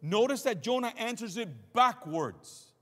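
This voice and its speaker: A man's voice: very high voice, expressive, measured pace, fairly bright tone. A man preaching a sermon as a pastor, emphatic and dramatic, leaning on repeated phrases and rhetorical questions. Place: large hall with congregation and screen, streamed to viewers at home. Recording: long hall reverb.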